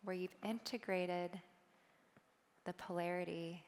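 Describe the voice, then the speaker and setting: A woman keynote speaker on a stage, guiding a meditation softly and then talking with the audience in a chatty way. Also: fairly low pitch, long reverb tail. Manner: soft, slow guiding then lively chatty with laughs. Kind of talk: guided meditation and audience talk. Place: large hall on a stage.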